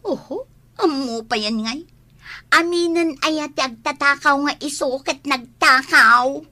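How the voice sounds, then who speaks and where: A woman's voice: high-pitched, unhurried, pushed and bright. An actress playing a scornful, nagging elder woman of the house with a Filipino accent, in radio comedy-drama style. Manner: nagging, sarcastic.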